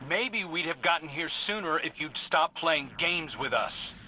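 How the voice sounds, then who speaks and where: A man's voice: fairly high pitch, lively intonation, measured pace, background noise. A man playing a wry, loyal scout in a video game, talking in a casual, dry, earnest way. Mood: urgent, wry. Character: wry male scout, weary fallen prince, loyal and alert. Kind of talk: video game mission dialogue.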